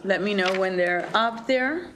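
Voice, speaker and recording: A woman's voice: medium pitch, a little slow, a bit bright, a little hiss or hum. Woman chairing a committee hearing. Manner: polite and procedural.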